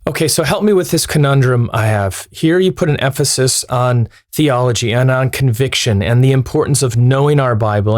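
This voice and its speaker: A man's voice: medium pitch, darkish tone, dry recording, clean studio sound. A man who is a pastor on a podcast, thoughtfully working through a long, searching question. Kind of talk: podcast discussion.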